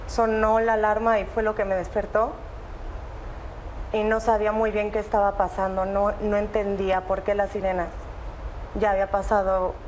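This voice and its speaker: A young adult woman, a student interviewed as an evacuee, speaking in an earnest, halting way and repeating phrases. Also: medium pitch, a little mellow, a little hiss or hum, poor audio. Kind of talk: emotional interview testimony.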